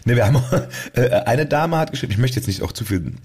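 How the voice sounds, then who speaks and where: A man's voice: medium pitch, expressive, fast talker, a little mellow, husky. A man co-hosting a podcast in casual conversation, with a wry, joking way of talking. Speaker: podcast co-host. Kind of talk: casual chat.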